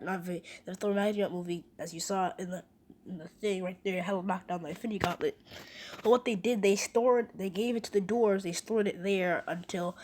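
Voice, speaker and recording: A woman hosting a reaction video with a cold and sore throat, chatting casually in a rambling way with many restarts. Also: medium pitch. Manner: rambling, many restarts, excited fun facts. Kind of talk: casual chat.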